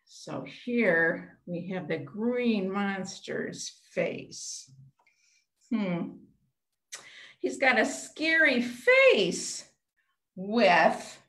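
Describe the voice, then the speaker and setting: Woman, middle-aged, children's librarian, slow simple questions for little ones, echoey room. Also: mid-range voice, fairly bright tone. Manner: simple questions and short phrases, as to small children. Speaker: children's librarian leading storytime. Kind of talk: interactive storytime for young children. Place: small room at home, indoors. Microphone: computer mic on a video call, a step away. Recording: roomy echo, webcam video call.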